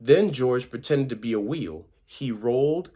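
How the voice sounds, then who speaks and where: A man's voice: medium pitch, lively intonation, a little slow, warm tone, breathy edge. A man with a mid-range voice reads a children's picture book aloud. His delivery is informal, like a volunteer storyteller, and he sometimes trips over the text. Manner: informal, stumbles over words while reading.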